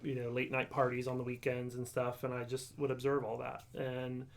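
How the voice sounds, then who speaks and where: A man in his forties from Ohio, telling his faith story in a halting, reflective way with many pauses and ums. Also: medium pitch, monotone. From Ohio, USA. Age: 40s. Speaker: guest sharing testimony. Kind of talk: casual conversational testimony.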